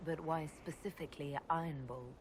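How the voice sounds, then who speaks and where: An actress playing a calm, direct elf mage who leads others in a fantasy video game. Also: female voice, low-pitched, fairly quick, noisy background, lightly acted. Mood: composed.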